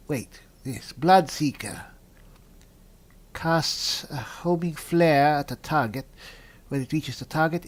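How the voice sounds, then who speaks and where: Man roleplaying through a fantasy game. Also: a little high. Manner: polite, musing aloud, reads spell descriptions out. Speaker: gaming video host and player. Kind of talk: in-character roleplay mixed with game commentary.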